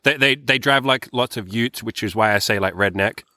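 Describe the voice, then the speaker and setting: Deep, bright-voiced Australian man. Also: moderate pace, no echo. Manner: loose, sweary, filler-heavy talk. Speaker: podcast host. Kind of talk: casual chat.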